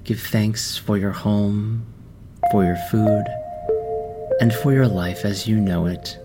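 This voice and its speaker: A man guiding a meditation in a slow, soft, soothing voice with long pauses. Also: fairly low pitch, fairly even intonation. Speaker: meditation guide. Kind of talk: guided meditation. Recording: music underneath.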